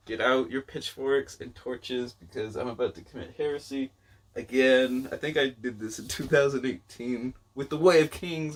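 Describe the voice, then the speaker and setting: A man's voice: medium pitch, recorded from a distance. A man giving a casual, rambling book-review chat to viewers, informal and self-deprecating in his delivery. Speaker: book vlogger.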